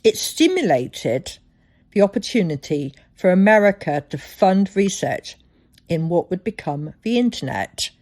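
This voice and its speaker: A woman hosting a solo podcast, telling things reflectively with deliberate, dramatic phrasing. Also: lowish voice, very animated, darkish tone. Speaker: podcast host.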